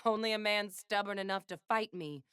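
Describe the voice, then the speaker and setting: A woman's voice: mid-range voice, moderate pace, pushed and bright, smooth, dry recording, natural voice. A woman voices a battle-worn young gunslinger heroine from a video game. She is dry, clipped and commanding, with tenderness under the defiance. Her voice sits in a middle register with a tight, dry studio sound. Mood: solemn. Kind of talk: video game character voice lines.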